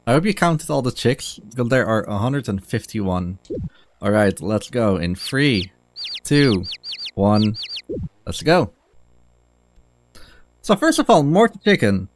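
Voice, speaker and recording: A man's voice: medium pitch, big pitch swings, slow pace, long pauses, slight background noise. A man giving casual live commentary as he presents his own video game speedrun.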